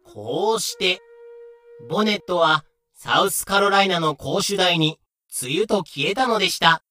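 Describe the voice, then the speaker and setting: A man's voice: high voice, animated, a little slow, bright, forceful tone, no echo, faint music behind. A man reading scripted narration for a voice drama.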